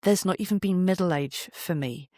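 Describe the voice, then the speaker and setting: A middle-aged guest on a podcast speaks in an emphatic, storytelling way. The voice sits at a medium pitch and is recorded dry, with very little room sound. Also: normal speed, a little mellow, no echo. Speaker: interview guest, voice actor and poet. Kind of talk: conversational podcast interview.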